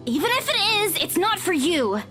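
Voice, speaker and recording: Very high bright voice, desperate young woman, emotional swings, horror game dialogue, music underneath. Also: sing-song, normal speed, exaggerated voice. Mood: defensive. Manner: emotional, pleading, insistent. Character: frightened, defensive young woman, desperate to be believed. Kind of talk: dramatic visual novel voice acting.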